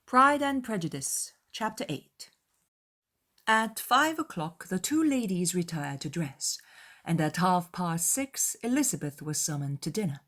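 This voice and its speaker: A woman reading scripted literary narration as an audiobook narrator, in a measured, formal storytelling manner. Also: on the low side.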